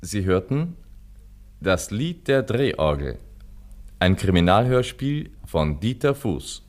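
A low male voice makes a formal radio announcement of credits. He reads very slowly and deliberately, with lively rises and falls in pitch. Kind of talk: scripted cast credits announcement.

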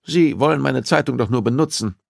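A male narrator plays a reluctant male newspaper publisher in an audiobook. He sounds worried, wary and grudging, pitched well up. The recording is close and dry. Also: brisk, gravelly, no room sound. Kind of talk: audiobook dialogue acting.

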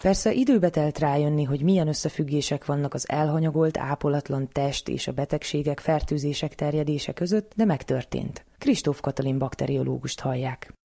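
A woman's voice: deep voice, vocal fry, hardly any pauses, warm tone. A woman presenting a radio programme, reading scripted narration.

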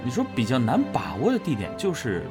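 Animated man explaining, emphatic delivery. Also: medium pitch, fairly quick, over loud music. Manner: emphatic, repeats phrases for stress. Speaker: voice actor interviewed about his character. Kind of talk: casual explanatory interview answer.

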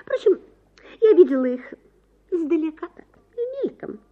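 A woman voicing a coy, self-righteous society wife in a dramatic story reading, gushing and theatrical, with drawn-out words. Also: very high voice, sing-song, narrow, tinny audio, voice pushed up. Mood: coy, airy.

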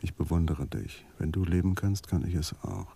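An actor playing a world-weary, resigned man in a measured radio drama monologue. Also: deep voice, warm tone, husky. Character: world-weary man voicing his suicidal letter.

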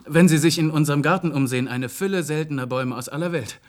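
An actor in a German radio play playing a polite, formal cellist who speaks with hesitant courtesy. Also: male voice, a little high, fast talker, close to an everyday voice. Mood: reflective. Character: polite, hesitant cellist, grieving son, unworldly with technology.

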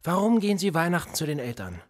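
An actor playing a police interrogator in a radio play, sardonic and menacing, needling with theatrical rhetorical questions. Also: male voice, on the high side, very animated, fast. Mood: sly, menacing. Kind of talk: naturalistic German radio play acting.

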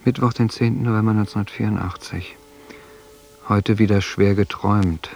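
An actor playing a reflective man reading a diary in a radio drama, terse and introspective with a gravelly voice. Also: on the low side, steady tone, normal speed, a little mellow, faint music behind. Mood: brooding, subdued.

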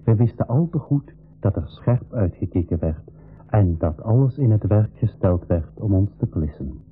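A man with a Flemish accent playing an adventurer who narrates his travels in a measured, storytelling way for a radio play. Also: lowish voice, expressive, soft background music, close to an everyday voice. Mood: measured, suspenseful. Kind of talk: scripted first-person radio play narration. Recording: muffled, thin old radio recording.

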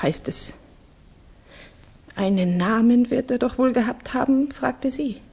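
Husky, grieving young woman. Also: a little high, darkish tone, heavily acted. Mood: bitter, stricken. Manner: halting, formal, intense. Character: grieving young Russian woman, refined, earnest, fragile. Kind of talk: 1960s German radio drama acting.

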